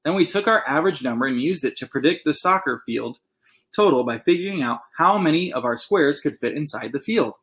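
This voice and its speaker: Guy reading a kids chapter book out loud, expressive, chatty little asides. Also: fairly high pitch, fairly quick. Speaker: read-aloud reader. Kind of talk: storybook read-aloud with casual asides.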